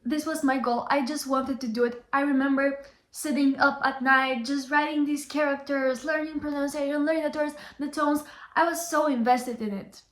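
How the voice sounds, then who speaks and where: An earnest young woman talks straight to the camera in a slightly high voice, repeating her key phrases to drive them home. The room around her is roomy and echoey. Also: fairly even intonation, normal speed. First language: Bulgarian. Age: young adult. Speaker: Chinese tutor. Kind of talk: motivational vlog talk. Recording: roomy echo.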